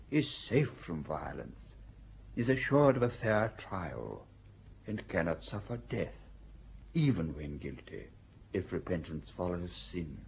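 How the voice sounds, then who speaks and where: A man playing a grave old inquisitor, low and solemn. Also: darkish tone, slightly rough, heavily acted. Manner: solemn, measured, sermon-like oratory. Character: stern elderly male inquisitor, grave and persuasive. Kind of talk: audio drama acting. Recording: dull lo-fi recording.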